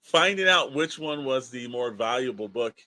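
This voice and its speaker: A man's voice: medium pitch, lively intonation, normal speed, sharp tone. A man hosting a casual podcast, giving opinions in a rambling, emphatic, exasperated way with storytelling and quoted asides. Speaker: podcast host.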